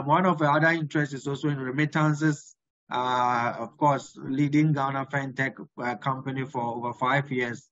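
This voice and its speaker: A man hosting a webinar and introducing a guest, halting with many filler pauses. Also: mid-range voice, no room sound. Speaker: host. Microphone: video call. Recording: dry, dull lo-fi call audio.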